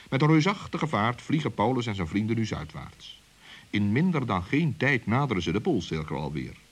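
A fairly low-voiced man tells a children's story. His husky voice rises and falls animatedly as he narrates the scripted tale. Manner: expressive storytelling.

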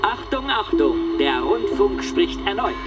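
An actor playing a proclaiming 1920s radio announcer through an old radio filter, in a radio play. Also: male voice, high-pitched, very animated, measured pace, loud music behind the voice, exaggerated voice. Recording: noisy old radio broadcast sound.

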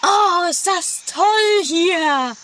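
A woman's voice: very high voice, drawn-out words, fairly bright tone, soft background music, heavily acted. A woman playing a flighty, curious lady in an amateur comedy radio play, theatrical and chatty. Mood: gushing. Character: gushing, flighty, curious, easily unsettled lady. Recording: distant, faintly noisy amateur 1980s recording.

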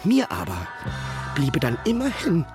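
A man's voice: fairly high pitch, wildly swooping pitch, measured pace, music in the background. An actor playing an obsessive, lovesick young poet, scornful and scheming, voicing his diary in a radio play. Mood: brooding obsession.

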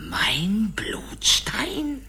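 Menacing war goddess, wildly swooping voice. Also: female voice, medium pitch, very animated, bright, forceful tone, exaggerated voice. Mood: menacing, triumphant. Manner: theatrical, slow, dramatic with sudden whispers and shrieks. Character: menacing, grandiose war goddess, ancient witch-queen. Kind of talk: radio drama acting.

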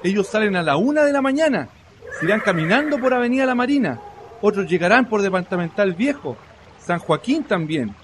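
A Chilean actor playing a working-class comrade and organiser who reassures others in a radio drama. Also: male voice, high voice, sing-song, brisk. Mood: reassuring. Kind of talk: radio play acting. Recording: noisy recording with ambience.

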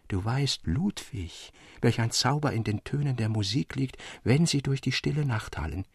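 An actor playing a romantic young man who fervently recounts a dreamlike infatuation to his friend in formal, old-fashioned radio storytelling, with a husky, gravelly voice. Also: mid-range voice, lively intonation, moderate pace, a little mellow, no echo, studio quality. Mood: reflective. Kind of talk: dramatic literary narration for radio.

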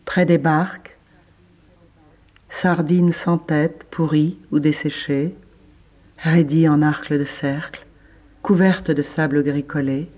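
A person reading literary French prose slowly and deliberately, in short measured phrases. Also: mid-range voice, a little mellow. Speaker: reader of the French original.

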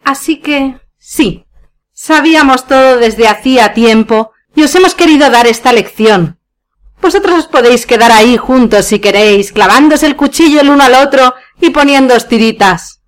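Lady playing a sardonic fed-up wife in a radio farce, high voice, spain accent, cutting delivery. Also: moderate pace, lightly acted. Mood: scornful. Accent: Spanish from Spain. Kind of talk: radio play comedy acting.

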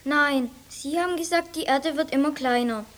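A woman's voice: high voice, normal speed, fairly bright tone. A woman playing a dreamy, earnest schoolboy who longs for the sea in a German radio drama. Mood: earnest wondering. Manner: earnest, wondering.

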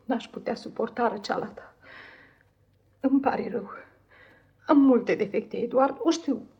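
An actress playing a meek, anxious, affectionate young wife in a radio play, pleading and hesitant in an apologetic way. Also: female voice, on the high side, mellow, husky, muffled recording. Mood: submissive apology. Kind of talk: 1950s radio theatre acting.

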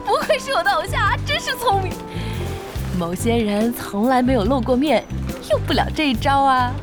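Perky young girl gamer, high voice, swooping intonation, teasing, bubbly, audio drama. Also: big pitch swings, normal speed, over music. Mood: admiring, teasing. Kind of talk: Chinese audio drama acting.

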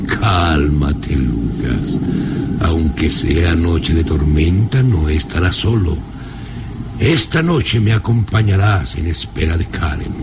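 An actor playing a domineering, menacing aristocratic baron and father in a radio drama, speaking in a commanding, deliberate manner. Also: deep voice, animated, very noisy. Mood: calm menace. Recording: radio drama with loud music underneath.

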